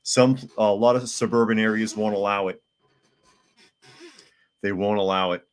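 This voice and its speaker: A man hosts a live podcast in a low, slightly hoarse voice, his throat still sore from a recent illness. He talks casually and plainly, reading viewer comments aloud and answering them. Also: steady tone, moderate pace. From United States. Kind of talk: casual live-stream chat.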